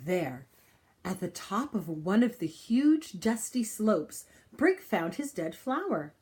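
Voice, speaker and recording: Woman reading a storybook with lively intonation. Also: medium pitch, takes it slowly. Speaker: storybook reader. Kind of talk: expressive read-aloud of a children's picture book.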